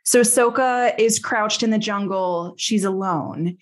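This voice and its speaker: A woman's voice: medium pitch, measured pace. Playful woman recapping a story. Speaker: podcast host. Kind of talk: lively episode recap in casual chat.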